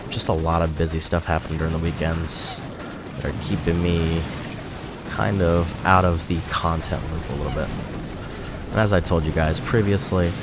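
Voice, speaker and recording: A man giving casual, rambling commentary over his gameplay video, sounding a little nasal from a cold. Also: low-pitched. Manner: rambling, self-correcting, chatty. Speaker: gaming YouTuber. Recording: music playing behind.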